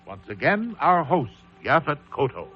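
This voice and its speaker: A man giving formal, deliberate scripted announcements for a broadcast radio drama. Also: on the high side, big pitch swings, slightly rough, soft background music. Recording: dull radio recording, faint noise.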